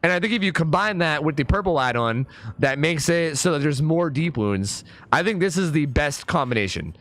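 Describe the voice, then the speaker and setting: A young man with a hoarse, partly lost voice, chatting casually as a gaming YouTuber explaining his build. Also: fairly high pitch, lively intonation, fairly bright tone, no echo. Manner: chatty, fast and filler-heavy. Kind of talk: casual gameplay commentary.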